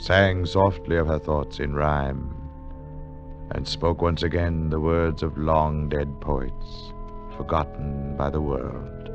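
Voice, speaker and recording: Deep-voiced earnest adventurer narrator. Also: male voice. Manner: earnest, dramatic. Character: male scholarly adventurer narrating, sceptical and awestruck. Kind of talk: 1940s radio drama acting and storytelling. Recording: dull 1940s radio recording with music.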